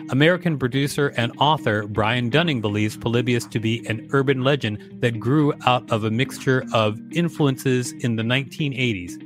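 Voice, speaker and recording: A man with a mid-pitched voice reads an encyclopedia-style article as a podcast co-host. His delivery is scripted narration that allows for short casual asides. Clear instrumental music plays behind him.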